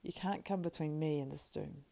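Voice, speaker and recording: A woman narrator acts out a tormented hobbit ring-bearer from a fantasy storybook. He is weighed down and dramatic, speaking with halting intensity in a creaky, mellow voice. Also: low-pitched. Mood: remorseful. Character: burdened, anguished male hobbit ring-bearer.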